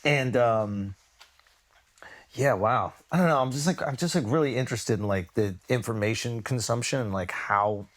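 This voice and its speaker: A man's voice: medium pitch, normal speed. A man with an American accent hosting a casual podcast conversation, talking in a rambling, opinionated way with plenty of swearing. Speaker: podcast host.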